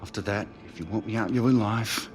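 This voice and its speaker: A man playing a middle-aged estranged British father, pleading and regretful, speaking earnestly and haltingly in a dramatic game cutscene. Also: lowish voice, soft background music, lightly acted.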